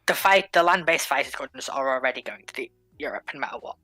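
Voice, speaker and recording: A woman's voice: a bass voice, animated, fast talker, sharp tone, no room sound, low-quality recording. A woman in a casual panel discussion, explaining her points in an assertive way.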